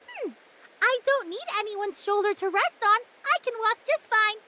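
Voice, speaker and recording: A woman's voice: very high voice, normal speed, heavy background noise. A woman voicing an eager, gushing, stubborn little girl in an anime-style game. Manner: excitable, gushing, childlike.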